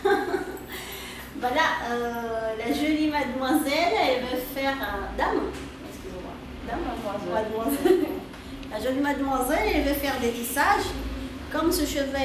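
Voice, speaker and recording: A woman from the Comoros, a hairdresser talking casually and proudly about her salon while she works, often repeating words. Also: fairly high pitch. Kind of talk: casual interview talk while working. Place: hair salon. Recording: echoey room, distant mic, background noise.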